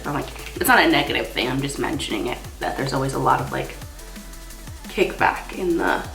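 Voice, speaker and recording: Low-pitched young woman with a stuffy cold. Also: expressive, a little fast, creaky, a bit bright, faint music behind. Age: young adult. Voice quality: slightly stuffy, congested. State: has a cold. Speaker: makeup artist and beauty vlogger. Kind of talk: casual makeup tutorial chat. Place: small indoor room. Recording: roomy echo.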